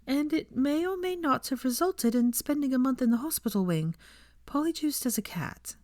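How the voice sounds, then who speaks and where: Woman acting a high-voiced, sheepish young witch. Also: animated, normal speed, a little mellow, no echo, clean studio sound. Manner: hesitant, feigning innocence.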